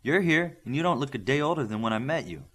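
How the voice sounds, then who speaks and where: A man's voice: medium pitch, very animated, fast talker, a bit bright, pitched above the performer's own voice. Man reading as an assured veteran combat instructor. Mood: measured.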